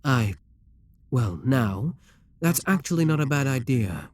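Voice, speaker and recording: A man's voice: mid-range voice, sing-song, a little slow. A man playing a proud, seductive demon king in an ASMR roleplay, speaking in an imperious, courtly and theatrical manner. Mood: indignant, haughty.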